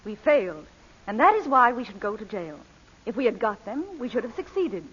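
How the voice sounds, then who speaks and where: A coolly unrepentant society lady thief with a lively, swooping voice. She is genteel and teasing in old radio drama style, on a dull, lo-fi recording. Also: on the high side, a little fast, breathy edge. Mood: coolly frank. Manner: genteel, teasing, mock-innocent. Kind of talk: 1940s radio drama acting.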